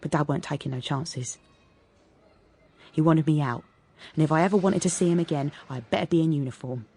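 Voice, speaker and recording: A woman's voice: low voice, a little fast, creaky, warm tone, breathy edge, full character voice. An actress playing a brash, combative young woman with a London accent, cheeky, blunt and streetwise, in a radio drama. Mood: defiant, reflective. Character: brash, combative, teasing working-class young woman, ex-soldier. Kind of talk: radio drama monologue and dialogue.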